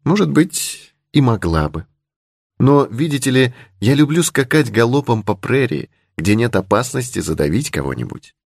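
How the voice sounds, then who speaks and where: A man's voice: mid-range voice, very animated, moderate pace, gravelly, a little mellow, slightly rough, no room sound, studio quality, pitched above the performer's own voice, full character voice. A man voicing a brave, candid young creole lady in an adventure audiobook. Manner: candid, charmingly simple, assured. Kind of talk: audiobook character in adventure novel.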